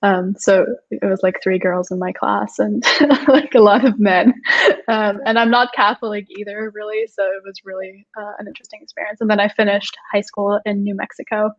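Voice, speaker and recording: A woman's voice: medium pitch, fairly even intonation, moderate pace, highs cut off. A young woman from Austria, a startup co-founder, chatting casually as an interview guest and filling her speech with "like". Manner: chatty, many "like" fillers, laughs often.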